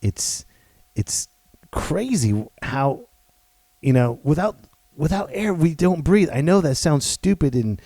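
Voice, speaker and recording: A man hosting his own podcast, talking loud and playful in a rambling way with many restarts. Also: fairly high pitch, lively intonation, slow pace, no room sound. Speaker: podcast host. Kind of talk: casual solo podcast monologue.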